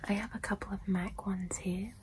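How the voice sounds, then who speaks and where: A young woman whispering softly and gently in a casual, unhurried ASMR style as she presents things close to the mic. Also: medium pitch, fairly even intonation, heavy background noise. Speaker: ASMR video host. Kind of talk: soft-spoken whispered ASMR product show-and-tell.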